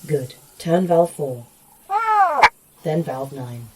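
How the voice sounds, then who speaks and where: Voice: mid-range voice, extremely slow, distant mic, heavy background noise. An actor playing a blunt, resolute survivor in a sci-fi horror audio drama, speaking in a terse, insistent way. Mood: commanding.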